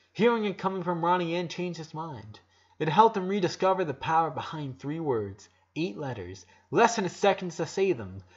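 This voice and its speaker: A man's voice: high voice, dull audio. A man reading a dramatic story aloud as narrator, emotive, with occasional stumbles.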